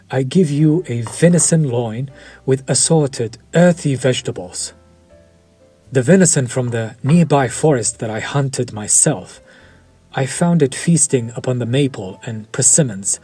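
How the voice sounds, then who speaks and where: An actor playing a courteous, quietly predatory vampire chef in an audio roleplay, polished, formal and flirtatious. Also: male voice, mid-range voice, animated, a little slow, husky, soft background music. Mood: suave. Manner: polished, formal and flirtatious, lingering over words.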